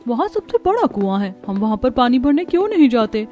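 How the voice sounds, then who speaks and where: A woman's voice: fairly high pitch, big pitch swings, a little fast, warm tone, faint music behind. A woman playing a weary, complaining village housewife in cartoon dialogue. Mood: matter-of-fact.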